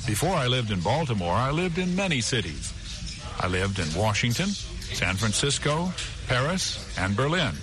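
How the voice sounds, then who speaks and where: A man's voice: medium pitch, big pitch swings, moderate pace, music in the background, close to an everyday voice. A man playing a friendly reporter. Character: friendly male news reporter. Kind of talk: scripted language-lesson dialogue.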